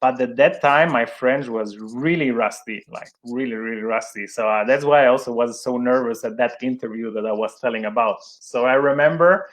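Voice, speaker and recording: A young man, a non-native English speaker, giving an interview at a middle pitch. He hesitates often, doubles back on his words, and laughs lightly at himself. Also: normal speed, hardly any pauses, rough recording. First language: not English. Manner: hesitant, many fillers and restarts, laughs at himself. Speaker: interview guest, sports event manager. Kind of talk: conversational interview.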